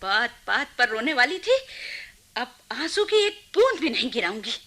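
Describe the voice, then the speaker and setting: A woman's voice: on the high side, sing-song, normal speed, bright, forceful tone, exaggerated voice. An actress playing an anguished middle-aged mother and long-suffering wife in a radio drama, with an emotional, dramatic delivery. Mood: bitter, defiant. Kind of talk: Hindi radio play acting.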